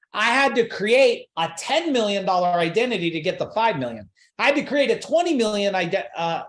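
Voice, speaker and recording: A man's voice: high voice, a bit bright, echoey room. A man coaching a live online seminar, pushing emphatic rhetorical questions and repeating his points. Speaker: business coach.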